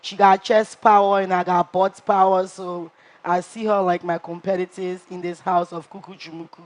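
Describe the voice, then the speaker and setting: A woman playing a brash reality-show contestant who tells her story in an animated way and acts out what others said. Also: on the low side, normal speed, close to an everyday voice.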